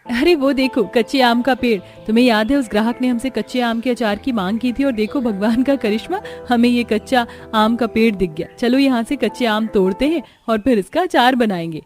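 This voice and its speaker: A woman voicing hardworking, excitable young village daughters-in-law in a Hindi cartoon story, with an expressive, exclaiming delivery. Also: a little high, fairly quick, faint music behind, close to an everyday voice. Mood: excited.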